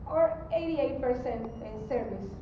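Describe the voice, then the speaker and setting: Female conference presenter, high pitch, explanatory and halting, with rumbly noise under a very reverberant distant recording. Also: lively intonation. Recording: very echoey, distant mic, rumbling background noise.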